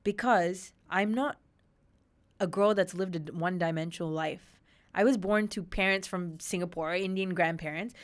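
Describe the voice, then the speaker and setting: A woman in her thirties from Australia, a podcast guest telling her personal story candidly, with emphatic, animated retellings. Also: on the low side, normal speed. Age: 30s.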